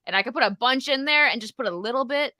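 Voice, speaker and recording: A woman's voice: high voice, quick pace, bright, forceful tone, no echo, clean studio sound. A woman hosting a casual livestream chat, laughing often and thanking viewers for donations. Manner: giggly, bubbly, laughs often. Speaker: livestream host.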